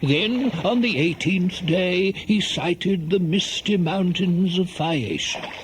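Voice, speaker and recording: An actor playing a measured storyteller, narrating an epic in a radio play. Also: male voice, high-pitched, slight background noise, rough recording.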